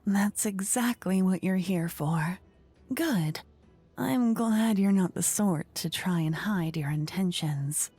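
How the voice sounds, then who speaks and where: A woman playing a playful, regal fantasy queen in a soft-spoken, breathy ASMR roleplay, languid and coy, often slipping into whispers close to the microphone. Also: fairly low pitch, slow pace, dry recording, faint music behind. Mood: measured, amused. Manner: languid, coy, flirtatious, courtly. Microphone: close ear-to-ear microphone.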